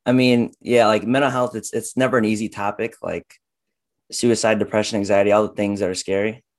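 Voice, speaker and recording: A young man, a guest on a podcast, talking casually and haltingly with many fillers. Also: lowish voice, flat delivery. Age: young adult. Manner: halting, lots of "like" and "uh".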